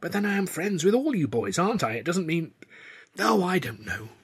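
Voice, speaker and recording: A man voicing a flustered young woman, a stage assistant, in an audiobook reading, with a husky voice. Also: very animated, a bit bright, full character voice. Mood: flustered, defensive. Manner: quick, defensive, higher voice.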